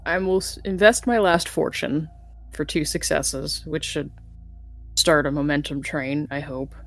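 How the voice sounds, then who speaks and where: A woman's voice: low voice, fairly even intonation, measured pace, soft background music. A woman chatting casually as a player at a tabletop game.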